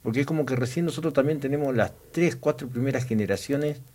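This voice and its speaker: A man's voice: medium pitch, fast talker, a little mellow. A man from Santa Cruz, telling stories and talking passionately about local culture in a casual chat. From Santa Cruz, Argentina.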